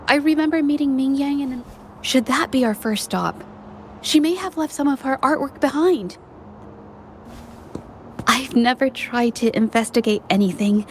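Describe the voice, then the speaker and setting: An actress voicing an earnest young female painter, gentle and reflective as she investigates. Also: high-pitched, a little hiss or hum. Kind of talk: video game voice acting.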